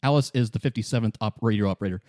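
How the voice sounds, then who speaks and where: A man acting as game master, narrating and explaining a story casually in halting, improvised phrases. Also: lowish voice, a little mellow, dry recording, studio quality. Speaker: game master, podcast co-host.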